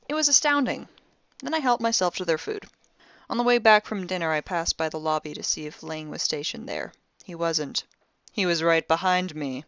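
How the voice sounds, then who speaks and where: A woman's voice: fairly low pitch, a bit bright, no echo, highs cut off. A woman playing a wry, impulsive young amateur detective, recording a spoken log in an audio drama with sardonic, theatrically dry conversational delivery. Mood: amused, animated.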